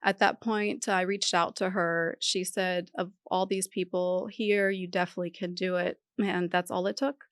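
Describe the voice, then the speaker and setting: A woman's voice: fairly low pitch, flat delivery, moderate pace, few pauses, no room sound, clean studio sound. A middle-aged woman from Iran, a podcast guest talking candidly and with determination about her career change. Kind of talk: casual interview talk.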